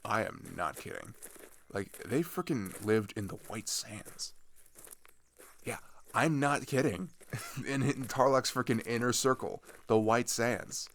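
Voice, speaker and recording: A man's voice: lowish voice, fairly bright tone, a little hiss or hum. A man playing an earnest, disillusioned teenage traveler in an ASMR roleplay, talking hesitantly and conversationally, often trailing off. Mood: animated, incredulous. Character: chatty, earnest, disillusioned male teenager on a journey. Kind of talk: ASMR roleplay, naturalistic improvised-sounding monologue.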